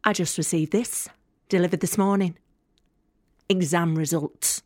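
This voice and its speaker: An actress playing a young student, guarded, sardonic and candid, in an intimate monologue for an audio play. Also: female voice, fairly low pitch, steady tone, unhurried, darkish tone, no room sound, clean studio sound, close to an everyday voice. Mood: wry, confessional. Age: young adult. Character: guarded, sharp-tongued young female student, hurt but hopeful.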